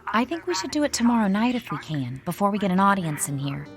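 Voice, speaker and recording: A young college actress, earnest and determined, radio play. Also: female voice, mid-range voice, very animated, fast, music in the background. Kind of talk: radio drama acting.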